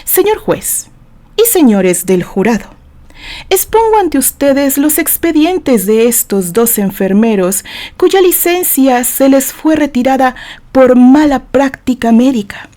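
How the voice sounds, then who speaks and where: Lady doing a calm sharp-witted male lawyer grilling in court, formal, mellow voice, audio drama. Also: sits high, slow pace. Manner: composed, formal, pointed questioning.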